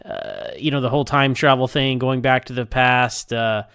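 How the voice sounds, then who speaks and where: A man with a clear, resonant voice of medium pitch records informal commentary. He rambles in an unscripted way, halting and repeating words. The sound is close and dry, with no room echo. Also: big pitch swings, a bit bright. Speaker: podcast listener sending recorded feedback. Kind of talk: casual, unscripted episode review.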